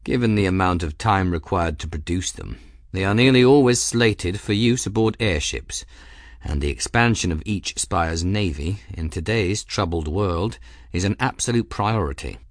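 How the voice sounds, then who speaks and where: A man doing a smooth, formal airship captain. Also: low-pitched, expressive, moderate pace, no room sound, studio quality. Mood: formal, guarded. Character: composed, formal ship captain, adult man.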